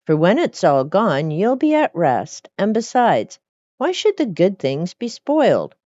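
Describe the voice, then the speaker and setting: A woman's voice: medium pitch, wildly swooping pitch, a little slow, dark tone, no room sound, lo-fi sound, voice pushed up. A woman voicing a merry, greedy, sly female cook from a storybook, chirpy and wheedling as she talks herself round. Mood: tempted, self-justifying. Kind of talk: storybook character reading.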